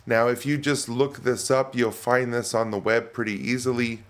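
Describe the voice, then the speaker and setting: Man, medium pitch, casual tech demo presenter, informal, thinking aloud. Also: fairly even intonation, moderate pace.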